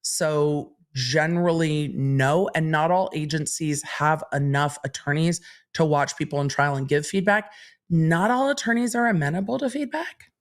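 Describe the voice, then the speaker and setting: A woman's voice: deep voice, moderate pace. A woman hosting a livestream, chatting candidly and reading out viewer questions. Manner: chatty and candid, answering questions in an even, explaining way. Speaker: legal commentary livestream host.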